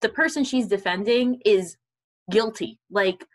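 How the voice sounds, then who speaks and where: A woman of middling pitch chats casually as co-host of a book discussion. She thinks aloud in a conversational way, often restating a phrase before moving on. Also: unhurried. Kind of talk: casual chat.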